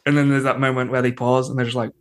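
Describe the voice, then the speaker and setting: A man's voice: mid-range voice, flat delivery, very fast. A man chatting informally and agreeably as a guest on a podcast. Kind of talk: casual chat.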